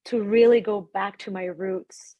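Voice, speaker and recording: Casual reflective woman from ecuador, breathy voice, dry recording, lively spiritual chat. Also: medium pitch, measured pace, no echo, muffled recording. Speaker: podcast guest. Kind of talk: casual conversation.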